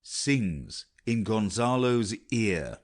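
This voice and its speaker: Low-voiced man reading stage directions. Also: animated, drawn-out words. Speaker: narrator. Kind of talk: scripted stage-direction narration for an audiobook.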